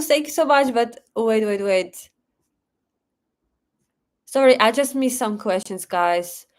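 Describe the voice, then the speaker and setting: A young woman with a Russian accent hosting a live stream, chatting casually, reading chat questions aloud and thanking viewers for donations. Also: on the high side. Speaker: livestream host.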